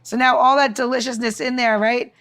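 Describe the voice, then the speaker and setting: A woman's voice: on the high side, fairly even intonation, fairly quick, a bit bright. A woman podcast host talking casually and informally in an upbeat way, peppered with "like" and "you know". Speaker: podcast co-host presenting cocktail recipes. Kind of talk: casual chatty recipe walkthrough.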